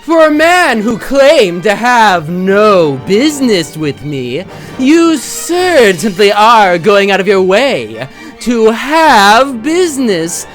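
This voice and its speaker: A woman's voice: mid-range voice, sing-song, slow, faint music behind. A woman playing a sly, teasing, defiant cat burglar in a comic dub, playful and taunting.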